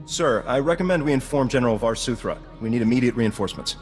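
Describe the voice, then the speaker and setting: An actor playing a loyal, earnest field agent in a video game, dutiful under pressure. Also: male voice, medium pitch, animated, quick pace, long reverb tail, soft background music, lightly acted. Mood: earnest, resolute. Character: earnest, loyal male field agent, shaken but helpful. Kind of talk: video game cutscene acting.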